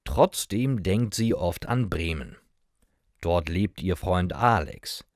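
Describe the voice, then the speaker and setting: A man's voice: sits low, expressive, slow pace, no room sound, studio quality. A man reading a simple scripted story as a narrator for beginner language learners, in short, careful sentences. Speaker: narrator of German learning stories.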